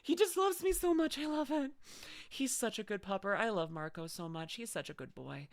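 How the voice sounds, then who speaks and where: A woman's voice: medium pitch, animated, moderate pace, creaky, no room sound, studio quality. A young woman streaming from home, chatting casually and gushing effusively, with many restarts. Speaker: streamer reacting to videos. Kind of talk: casual live-stream chat.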